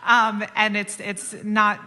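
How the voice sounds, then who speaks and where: A woman's voice: medium pitch, little pitch movement, slow, sharp tone. A woman speaking as an author and interview guest, candid and informal, making her points with emphasis in a conversational way.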